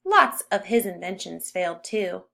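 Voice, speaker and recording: A woman's voice: on the low side, sing-song, a little slow, creaky, fairly bright tone, exaggerated voice. A woman voicing a bold, insistent boy from the future in a storybook read-aloud, speaking emphatically. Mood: admiring. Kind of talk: storybook character reading.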